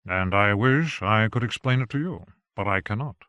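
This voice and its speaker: A man voicing a reserved gentleman husband who speaks in a measured, dry, subdued way. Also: fairly low pitch, lively intonation, no room sound, highs cut off.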